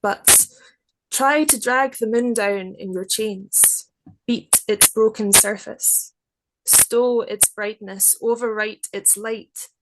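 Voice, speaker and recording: Slow, deliberate woman poet over noisy audio. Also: a little high, steady tone. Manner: deliberate, careful phrasing with literary vocabulary. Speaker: poet reading own work. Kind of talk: measured poetry reading and reflective explanation.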